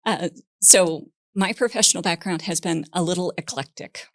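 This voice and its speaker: A woman hosting a podcast interview, talking in a relaxed, conversational way with personal anecdotes. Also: fairly low pitch, normal speed, dry recording, studio quality. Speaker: podcast host.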